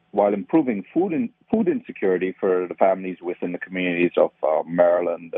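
A man's voice: low voice, slightly rough, dry recording. A man from Trinidad with a Trinidadian accent, explaining his nonprofit work as an interview guest. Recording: tinny phone-like line, dry.

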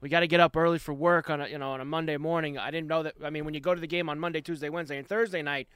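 A man's voice: on the high side, steady tone, rapid-fire, fairly bright tone, smooth, dry recording, clean studio sound. A man co-hosting a sports talk radio show, sarcastic and exasperated as he argues his points.

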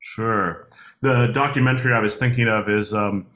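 A man hosting a podcast interview, asking questions in a relaxed, hesitant way with many fillers and restarts. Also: fairly low pitch, normal speed. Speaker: podcast host. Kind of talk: conversational interview.

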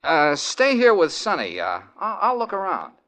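Cocky wisecracking private eye, lively bouncy delivery, old 40s radio drama. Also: male voice, high-pitched, normal speed, full character voice. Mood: wry. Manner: breezy, wisecracking. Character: wisecracking male private detective, Mr. Valentine. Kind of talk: 1940s radio acting.

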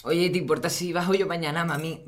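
A woman's voice: lowish voice, fairly even intonation, fast talker, lightly acted. A woman playing a smug, teasing teenage son in a family audio sitcom. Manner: teasing, pedantic. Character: cheeky, smug, know-it-all teenage son. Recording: clinking dishes and cutlery noise.

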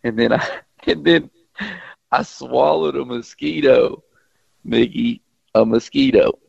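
A man calling in to a radio show, congested from a cold, telling stories in an animated way. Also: medium pitch, slow pace, gravelly, husky, dry recording. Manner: animated storyteller, chuckles. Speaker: fishing guide, guest caller. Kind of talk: casual radio chat. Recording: dull dry phone line.

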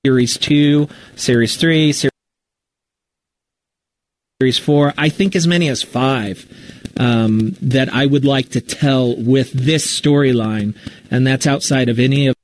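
A man's voice: medium pitch, steady tone, slow, slight background noise, poor audio. A man answering questions as a podcast storyteller in a casual live interview, candid and reflective as he thinks aloud. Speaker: writer and storyteller of a fiction podcast, being interviewed.

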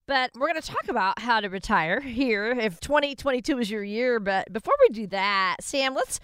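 A woman's voice: a little high, lively intonation, a bit bright, clean tone, dry recording. A woman in her sixties co-hosting a radio show, speaking in a cheerful, upbeat way as she introduces the advisor and asks questions. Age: 60s. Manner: cheerful, reads out phone numbers slowly. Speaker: radio co-host.

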